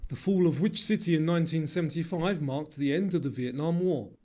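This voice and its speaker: A man's voice: a little high, a little fast, warm tone, faint music behind. A man presenting a quiz, reading scripted questions and answers.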